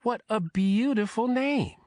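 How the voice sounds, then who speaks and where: A man's voice: a little high, lively intonation, normal speed, dry recording, clean studio sound, pitched above the performer's own voice, natural voice. A man voicing an affectionate, forthright old grandmother in an audiobook. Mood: warm, welcoming.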